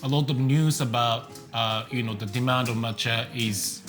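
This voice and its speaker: A man's voice: medium pitch, normal speed, music in the background. A man with a Japanese accent, a tea business owner casually introducing himself with lots of fillers. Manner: relaxed, many fillers.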